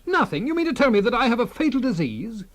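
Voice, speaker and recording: A melodramatic husband, animated and plaintive. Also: male voice, extremely high pitch, quick pace, full character voice. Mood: anxious, indignant. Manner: exclaiming, repeats himself, indignant and plaintive. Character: melodramatic, self-pitying middle-aged husband, prone to exaggeration. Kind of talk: comic radio play acting. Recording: noisy radio drama recording.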